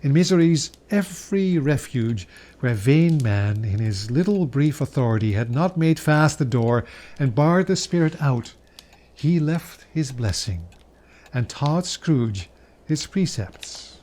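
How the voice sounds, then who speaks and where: Male narrator for literary fiction, mid-pitched, unhurried, deliberate dramatic phrasing with theatrical pauses. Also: expressive, mellow. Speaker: narrator, reader.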